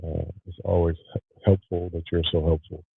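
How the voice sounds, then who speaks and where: A man's voice: sits low, fairly quick, gravelly, breathy edge, no room sound, phone-quality sound. A male podcast host chatting casually with live viewers from his home studio, sounding tired and nasally congested. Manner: relaxed, talks to live viewers. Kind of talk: casual livestream chat.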